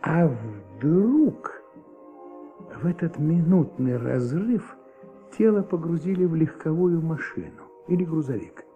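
A man playing a middle-aged, methodical police commissioner who thinks aloud and questions deliberately in a Soviet radio drama. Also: a little high, very animated, narrow, tinny audio. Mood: pondering. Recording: old Soviet radio recording, faint music.

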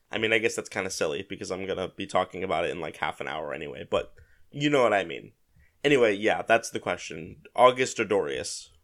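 A man's voice: fairly low pitch, a bit bright. A man hosting a casual solo podcast, sounding a little congested from a head cold and talking in a rambling, self-correcting way. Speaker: podcast host.

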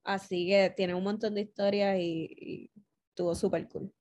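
A woman's voice: mid-range voice, little pitch movement, normal speed, dark tone. A woman co-hosting a podcast and introducing it in a casual, conversational way. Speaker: podcast co-host.

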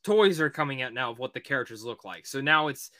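A man's voice: mid-range voice, lively intonation, fast, crowd noise. A man co-hosting a podcast, chatting casually and informally about movies. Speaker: podcast co-host.

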